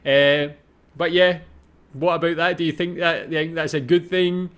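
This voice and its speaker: A man's voice: fairly high pitch, fairly even intonation, normal speed, a bit bright, smooth. A man with a Scottish accent giving casual, musing off-the-cuff commentary as host of a reaction video. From Scotland.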